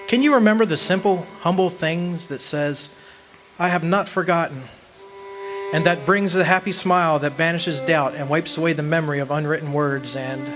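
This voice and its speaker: A man's voice: a little high, natural voice. A man acting in a radio drama as an earnest, homesick country man, with a wistful, folksy way of talking. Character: homesick young man of twenty-five, lovesick, earnest, remembering. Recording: noisy live amateur stage recording.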